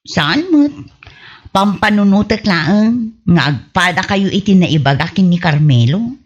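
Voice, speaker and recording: An actress with an Ilocano accent playing a sheepish, earnest village gossip and wife in a radio comedy, plaintive and insistent. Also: female voice, fairly low pitch, lively intonation, unhurried, dull audio.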